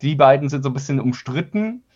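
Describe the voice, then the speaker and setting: A man giving halting, opinionated explanations as a guest in a casual interview. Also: mid-range voice, expressive, a little mellow. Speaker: audio drama producer being interviewed. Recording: slightly dull, band-limited audio.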